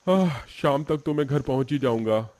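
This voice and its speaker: A man's voice: medium pitch, moderate pace, darkish tone, pitched above the performer's own voice, lightly acted. A man playing a devout young villager, anxious and pleading, in a horror cartoon story. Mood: hopeful.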